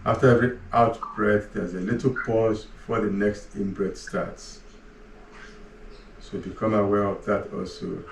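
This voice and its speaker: A man leading a guided relaxation, giving slow, soft, calm cues with long pauses between them. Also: on the low side, gravelly. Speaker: meditation guide. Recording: roomy echo, voice far from the mic, faint ambient hum.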